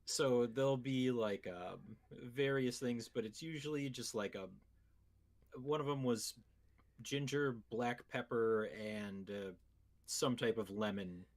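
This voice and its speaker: Mid-pitched male podcast co-host. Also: slow. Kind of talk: casual conversational ad banter.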